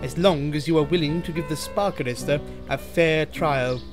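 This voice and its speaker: A man's voice: on the high side, moderate pace, music in the background, natural voice. Man as a stern railway boss. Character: stern, authoritative male railway controller, fair but firm.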